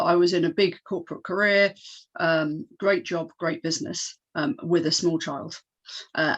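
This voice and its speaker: A woman's voice: fairly low pitch, breathy edge. Chatty woman, muffled video call. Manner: chatty, restarts and fillers. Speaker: panelist, company founder. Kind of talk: online panel discussion.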